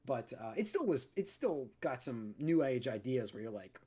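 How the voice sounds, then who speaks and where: A man hosting a casual podcast at his studio mic, his mid-range voice a touch raspy from a recent cold. He talks in loose, rambling runs, stopping and restarting often. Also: medium pitch, big pitch swings, normal speed, mellow. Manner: rambling, many restarts and fillers. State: recovering from a cold, slightly raspy. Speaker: podcast host. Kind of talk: casual chat.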